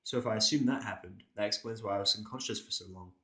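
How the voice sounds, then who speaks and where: A man's voice: fairly low pitch, quick pace, bright, forceful tone, husky. A man reading scripted first-person narration for an audio drama.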